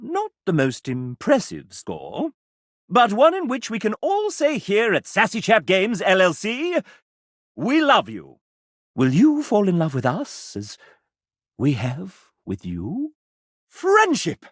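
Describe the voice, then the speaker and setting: An actor voicing a sassy, flamboyant video game host, speaking in a grandiloquent, arch and melodramatic way. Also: male voice, sits high, wildly swooping pitch, slow pace, no echo, studio quality, heavily acted. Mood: grand, affectionate. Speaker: voice actor playing a game show host character. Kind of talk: theatrical video game character acting as a game show host.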